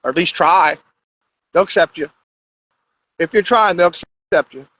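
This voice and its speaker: A man with a high voice delivers a profane, rambling monologue in a blunt, crude way. The sound is dull and lo-fi. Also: moderate pace, a bit bright. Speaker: podcast host.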